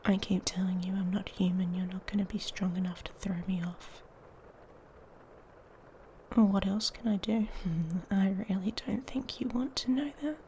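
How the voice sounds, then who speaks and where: A woman playing an irritated, haughty female werewolf in an intimate ASMR roleplay, breathy and often whispering, taunting and seductive. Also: mid-range voice, steady tone, mellow, a little hiss or hum, close to an everyday voice. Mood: seductive, daring.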